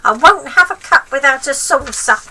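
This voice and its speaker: A woman's voice: high-pitched, animated, normal speed, sharp tone, recorded from a distance, pitched above the performer's own voice, heavily acted. A woman voicing a selfish, grumpy old grandmother from a children's storybook, snappish, scolding and demanding. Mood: demanding, complaining. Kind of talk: acted children's storybook reading.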